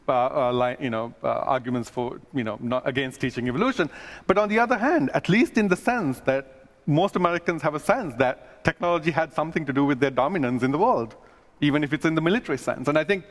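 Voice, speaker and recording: A man who grew up in Sri Lanka, an astronomer speaking earnestly at a conference, pausing with fillers and restarts in the Q&A. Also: mid-range voice. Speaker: astronomer giving a talk. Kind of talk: stage talk then casual Q&A. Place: conference stage. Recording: long hall reverb.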